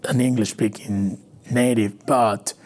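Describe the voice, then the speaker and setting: A man from Venezuela, a native Spanish speaker with a Latin American accent, telling his recovery story haltingly in an interview. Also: fairly low pitch, steady tone, darkish tone. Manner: halting, with frequent restarts and fillers. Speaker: interview guest. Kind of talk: personal recovery story, conversational.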